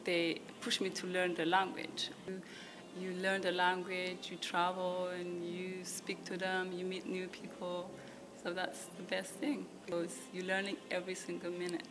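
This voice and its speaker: A young woman from Ethiopia who grew up in the Netherlands, answering interview questions at home in a casual, halting way with many fillers. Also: on the low side, monotone, background noise. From Ethiopia, raised in the Netherlands. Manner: halting, lots of fillers and "you know what I mean". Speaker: model, guest. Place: apartment at home.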